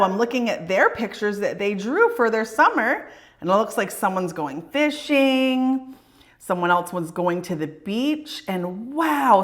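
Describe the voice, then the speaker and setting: A woman reads a storybook to young children. Her pitch moves up and down in a lively way, with clear emphasis on key words, as an elementary read-aloud presenter does. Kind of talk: expressive children's picture book read-aloud.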